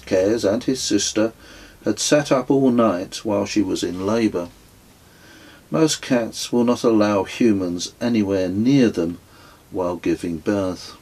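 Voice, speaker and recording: A middle-aged man narrates a travel memoir he wrote himself. His voice is low and husky, and he reads very slowly, phrase by phrase. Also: a little mellow, poor audio. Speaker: author reading own book.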